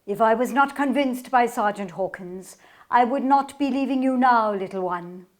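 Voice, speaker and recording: A woman's voice: on the high side, normal speed, natural voice. A woman playing a protective, devoted older sister in a radio play, speaking formally and earnestly. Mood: tender farewell. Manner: formal, earnest period speech.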